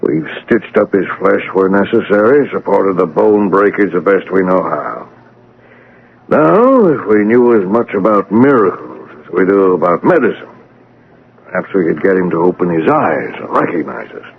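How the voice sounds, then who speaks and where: An actor playing a seasoned, world-weary male doctor in a radio drama, with a brisk, sardonic manner. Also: deep voice, big pitch swings, vocal fry, slightly rough, heavily acted. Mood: resigned. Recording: muffled old radio broadcast, faint noise.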